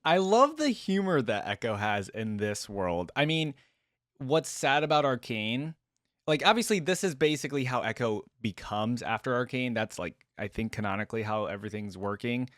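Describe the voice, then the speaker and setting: A man's voice: mid-range voice, big pitch swings, normal speed, a bit bright, no room sound. Chatty man in a dry, close recording. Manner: chatty, informal. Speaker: reaction video host. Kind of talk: casual reaction commentary.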